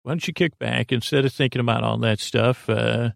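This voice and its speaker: A man with a creaky voice hosting a sleep podcast, meandering haltingly through a bedtime monologue and trailing off mid-thought. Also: medium pitch, fairly even intonation, no echo, studio quality. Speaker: podcast host. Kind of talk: rambling bedtime monologue to help listeners sleep.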